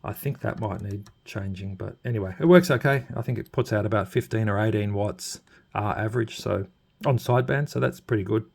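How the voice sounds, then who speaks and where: A man giving a casual, unscripted hands-on walkthrough, haltingly thinking aloud as he points things out. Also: lowish voice, vocal fry, darkish tone. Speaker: presenter showing his radio modifications. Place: indoors at an electronics workbench.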